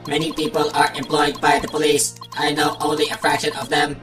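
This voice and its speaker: A woman's voice: low-pitched, flat delivery, a little fast, echoey room, recorded from a distance, close to an everyday voice. A woman voicing a terse male bomb expert with a robotic, clipped, unemotional delivery in a game fandub. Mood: flat, matter-of-fact. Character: terse male bomb expert talking through speech synthesizer. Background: prominent game music.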